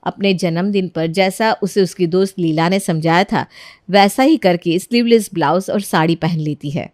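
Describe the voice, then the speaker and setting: A woman's voice: on the low side, normal speed, a little mellow, no echo. Female story narrator, scripted read, dry studio-like sound with very little room.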